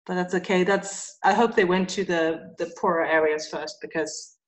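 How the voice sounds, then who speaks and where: A woman from Sweden, a guest in a casual chat over a remote call, speaking in a relaxed way with soft laughs and hesitant ums. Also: fairly low pitch, normal speed, low-quality recording.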